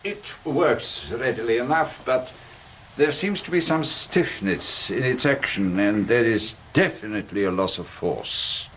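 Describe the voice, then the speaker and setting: An actor playing a curt, coldly menacing colonel in a radio drama, speaking in a formal, imperious manner. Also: male voice, medium pitch, animated, measured pace, a bit bright, slight background noise. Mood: cool menace.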